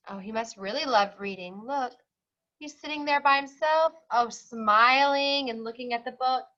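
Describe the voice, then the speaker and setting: A woman's voice: high-pitched, a bit bright, muffled recording. A woman teacher reading a picture book aloud to young children, expressively, with simple explanatory asides.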